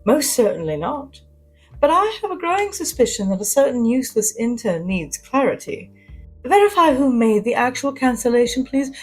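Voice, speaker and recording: A woman's voice: high voice, sing-song, normal speed, husky, faint music behind, pitched above the performer's own voice, full character voice, low-quality recording. A woman playing an arch, teasing, imperious socialite spy in a science fiction audio story. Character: flirtatious, imperious socialite woman spy, sly and self-assured. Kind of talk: first-person sci-fi spy audio fiction.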